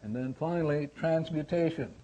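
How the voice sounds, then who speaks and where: Mellow male seminar teacher, dull recording. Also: fairly high pitch, normal speed. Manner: repetitive, instructive phrasing. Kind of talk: seminar lecture explained step by step.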